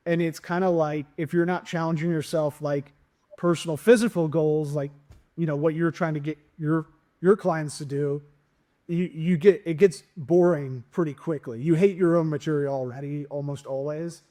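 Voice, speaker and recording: A middle-aged man hosts a casual podcast conversation, his voice sitting a little high for a man. He rambles through stories and jokes, restarting his sentences often. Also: steady tone, moderate pace, dark tone. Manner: rambling, joking, lots of restarts. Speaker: podcast host and stand-up comic. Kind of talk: casual interview chat.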